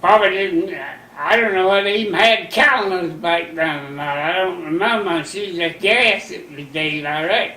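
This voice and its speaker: A man in his nineties slowly recounting old memories in a folksy, rambling way, using plain rural grammar. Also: sits high, bright, forceful tone, roomy sound. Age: 90s. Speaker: interviewee. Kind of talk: rambling recollection.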